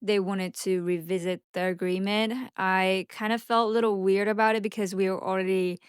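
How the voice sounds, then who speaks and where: A woman whose first language is Japanese talks casually and candidly, as if to friends on a vlog. Her voice is clean and smooth at a medium pitch, recorded close and dry with no room sound. She speaks without a script and now and then trips over a word. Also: steady tone, moderate pace, dry recording. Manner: candid, conversational, stumbles over words. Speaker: vlogger and social media marketing agency owner. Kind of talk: unscripted casual chat to camera.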